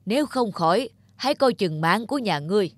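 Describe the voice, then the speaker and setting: A woman's voice: mid-range voice, expressive, fairly quick, dry recording, studio quality, soft background music, lightly acted. A woman voicing an ailing, demanding middle-aged cartoon king with an imperious manner. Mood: stern. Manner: imperious, commanding. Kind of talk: cartoon voice acting.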